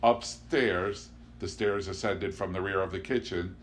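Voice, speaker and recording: A man reading a literary novel aloud in an expressive storytelling style. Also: sits low, measured pace. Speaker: audiobook reader. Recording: clear background noise with hum.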